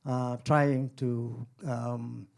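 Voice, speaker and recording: A man's voice: medium pitch, little pitch movement, extremely slow, gravelly, darkish tone. A man in his fifties from the Philippines, an activist explaining things on a live panel into a handheld mic. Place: small screening room, indoors. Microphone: handheld microphone.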